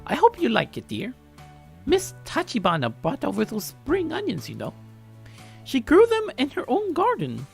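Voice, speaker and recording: A man voicing a doting, energetic grandmother of about eighty in a story, playfully crafty and coaxing. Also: extremely high pitch, moderate pace, vocal fry, faint music behind, voice pushed up, full character voice. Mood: fond. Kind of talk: character voice in a story reading.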